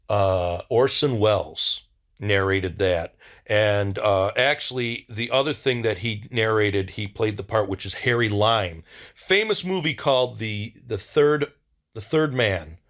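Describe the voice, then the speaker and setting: A man's voice: fairly low pitch, fairly bright tone. Casual enthusiastic man rambling informally. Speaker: video diary host. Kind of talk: casual rambling monologue reviewing favorites.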